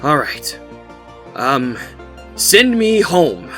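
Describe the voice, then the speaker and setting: A man playing a bewildered, foul-mouthed ordinary man who mutters to himself or yells in exasperation, in an audiobook. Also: a little high, big pitch swings, drawn-out words, over music, full character voice. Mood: uneasy, self-reassuring. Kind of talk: audiobook character acting.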